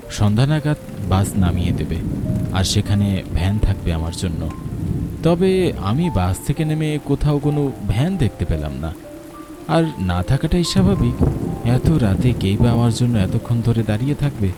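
A man narrating a horror cartoon story in the first person, tense and dramatic, with nervous stammers. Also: lowish voice. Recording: loud rain and thunder behind.

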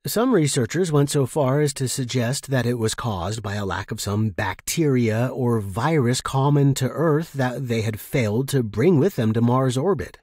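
A man with a husky voice reading first-person short fiction as an expressive narrator. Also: mid-range voice, normal speed, hardly any pauses, no room sound, clean studio sound.

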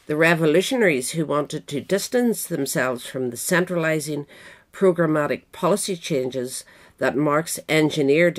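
A woman narrating a scripted political history essay aloud in a measured, formal manner. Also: low voice. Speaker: audiobook reader.

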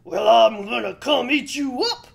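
Woman putting on a loud, grumpy bully troll. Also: a little high, creaky, voice pushed up. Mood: roaring, menacing. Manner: loud, drawn-out, roaring demands. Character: grumpy bully male troll under a bridge. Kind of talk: children's storybook telling.

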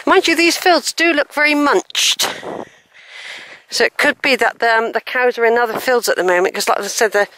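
A woman's voice: a little high, normal speed, bright, forceful tone, a little hiss or hum, rough recording. An older woman, chatting casually to camera as she walks through open fields, rambling with asides and self-corrections. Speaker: walking vlogger. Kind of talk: chatty walking commentary to camera. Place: open hilly fields outdoors. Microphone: handheld camera mic while walking.

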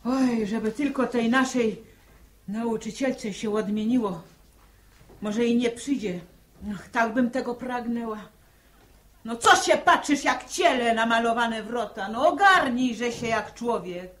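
A woman's voice: a little high, measured pace, natural voice. An actress playing a fretful, pious farm mother with speech in a 1960s radio drama. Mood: fretful, scolding.

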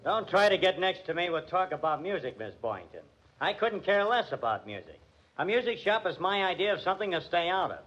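Husky, high-pitched wisecracking American detective. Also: male voice, sing-song, fairly quick, a bit bright, heavily acted. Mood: sardonic. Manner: sardonic, needling, tough-guy slang. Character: wisecracking, sarcastic hard-boiled male police detective. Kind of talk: 1940s radio drama acting. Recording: dull, band-limited old radio recording.

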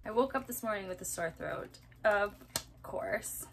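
A woman in her thirties talks casually to a handheld camera at arm's length. Her voice is bright and forward, at a middling pitch. She is playful and self-deprecating, and there is clear noise behind her. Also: unhurried. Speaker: family vlogger, mother. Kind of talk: casual chat to camera about daily chores. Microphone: camera or phone at arm's length.

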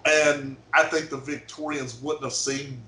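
A man on an online panel, talking in animated bursts and stumbling as he starts his sentences. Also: medium pitch, unhurried, a little hiss or hum. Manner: animated, stumbling over openings. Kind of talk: casual panel discussion. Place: home office. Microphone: studio mic on video call. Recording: roomy video call audio.

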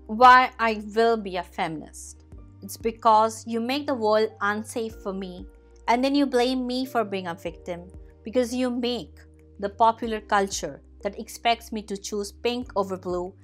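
A young woman reading an open letter aloud in a declarative, emphatic way. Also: medium pitch. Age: young adult. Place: hotel room.

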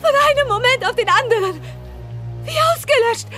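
An actress playing a distraught, hysterical wife in an audio drama, wailing. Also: female voice, very high voice, lively intonation, moderate pace, fairly bright tone, faint music behind. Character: distraught wife who saw her husband vanish.

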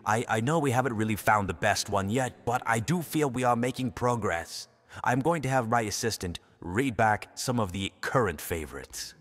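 A man's voice: mid-range voice, expressive, moderate pace, fairly bright tone. A man playing a pompous, authoritarian world president in an audio drama. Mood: placating. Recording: echoey hall, faint music behind.

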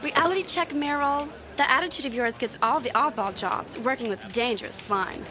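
A woman's voice: sits high, moderate pace, close to an everyday voice. A woman playing a cool, matter-of-fact, admonishing character in an anime dub, frank and level-headed. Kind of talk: English anime dub acting. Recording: music under the voice.

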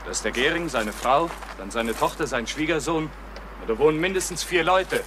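Clear outdoor noise surrounds a puzzled policeman played by a man in a radio crime drama. The voice sits slightly high and stays matter-of-fact. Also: expressive, fairly quick, natural voice. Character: puzzled policeman on duty outside a house. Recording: noisy outdoor background.

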